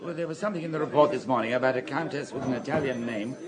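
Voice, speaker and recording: An actor playing a dutiful, deferential police inspector in a radio drama, matter-of-fact, with a British accent. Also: male voice, medium pitch, rapid-fire, over a noisy crowd, natural voice. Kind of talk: BBC radio drama acting.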